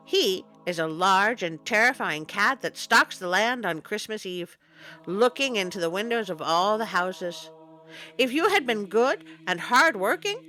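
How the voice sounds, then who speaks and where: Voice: medium pitch, sharp tone. A storytelling host reading scripted folklore narration in a theatrical, playfully ominous manner. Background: music.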